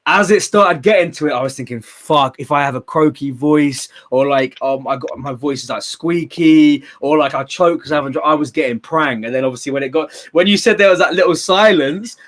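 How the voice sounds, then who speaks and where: Young man, casual stream host, fairly high voice, animated, swears a lot. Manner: animated, sweary, laughs often, quick restarts. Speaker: host of a battle rap stream. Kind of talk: casual chat on a livestream.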